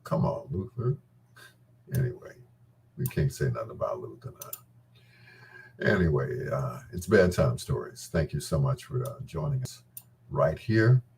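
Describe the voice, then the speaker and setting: A man's voice: rumbling low, big pitch swings, unhurried, gravelly, long pauses, darkish tone, slight background noise. A man hosting a talk show with a casual, unscripted monologue, gossipy and emphatic, often repeating his phrases. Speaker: host of a bedtime talk show.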